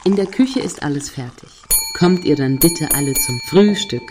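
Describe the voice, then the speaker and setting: A woman's voice: sits low, expressive, normal speed, noisy background. A woman playing a caring mother and kitchen chef in an audio drama. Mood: motherly, attentive. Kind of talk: German audio drama dialogue.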